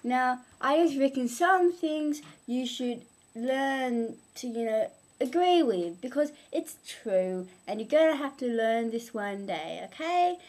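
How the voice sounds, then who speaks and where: A woman's voice: high-pitched, animated, slow, a little mellow, heavily acted. A young woman voicing a lady orange in a homemade children's story, lecturing in a patronising manner. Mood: condescending.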